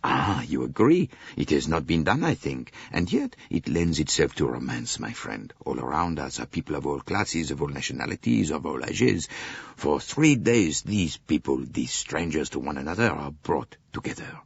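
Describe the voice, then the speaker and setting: An actor playing a philosophical, expansive continental gentleman with a theatrical, sighing manner in an audiobook. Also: rumbling low, very animated, normal speed, gravelly, slightly rough, dry recording, muffled recording, heavily acted. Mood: wistful, philosophical.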